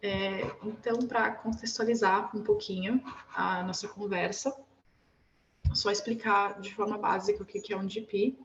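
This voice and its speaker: A woman's voice: mid-range voice, steady tone, normal speed. A woman moderating an online panel over a video call. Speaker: event host and moderator. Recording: muffled online call with noise.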